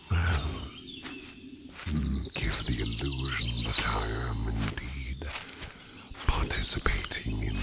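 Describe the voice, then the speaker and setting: Man playing a very deep, gravelly ASMR demon. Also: background noise, full character voice. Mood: polite, unhurried. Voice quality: very deep, gravelly, breathy near-whisper. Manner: formal, old-fashioned, slow and halting phrasing. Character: courteous, secretive male sleep demon disguised as a man. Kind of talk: soft-spoken ASMR roleplay addressed to the listener.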